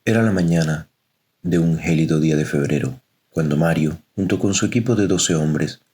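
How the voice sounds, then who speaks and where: Casting: male narrator for dramatic fiction, exceptionally low pitch with a rough, husky grain. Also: a little mellow. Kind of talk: scripted dramatic story narration.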